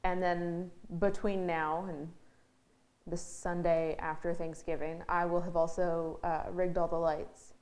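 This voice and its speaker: A woman's voice: lowish voice, level tone, measured pace, darkish tone. A woman, a stage manager being interviewed, chatting casually with a wry, jokey turn of phrase.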